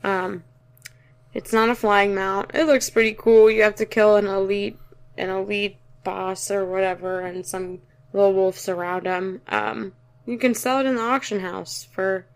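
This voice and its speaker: A young woman chatting as a podcast co-host in an even, mid-pitched voice. She jokes and often trails off, then corrects herself mid-sentence. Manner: joking, trails off and restarts, self-correcting. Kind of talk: casual chat.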